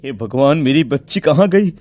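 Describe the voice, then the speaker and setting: A man's voice: mid-range voice, animated, normal speed, a little mellow, lightly acted. A man playing a distraught village father. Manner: distraught, pleading. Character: grieving village father searching for his daughter.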